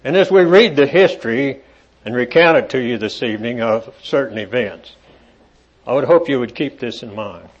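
A man preaching to a congregation in an evening meeting, reading scripture aloud with rising emphasis and talking with halting fillers in between. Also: medium pitch, animated, breathy edge. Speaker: lay preacher. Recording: dull lo-fi recording.

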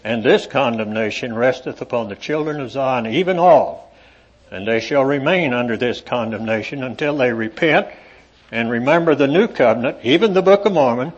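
Husky-voiced man preaching from scripture. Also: mid-range voice, expressive. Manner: halting, many fillers, rises in emphasis when reading scripture. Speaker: lay preacher. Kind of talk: scripture reading and sermon. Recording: dull lo-fi recording.

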